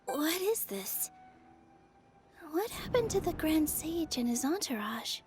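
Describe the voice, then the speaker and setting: A woman playing a sweet, earnest young dancer in a video game, speaking gently and with open wonder. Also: high-pitched, sing-song, slow, a little hiss or hum, full character voice. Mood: wonder, delight. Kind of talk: video game voice acting, storytelling.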